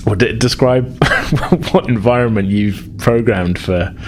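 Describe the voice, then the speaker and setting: A man with a low voice narrates a tabletop game as game master. He improvises his descriptions in halting pieces, and there is clear noise behind him on the recording.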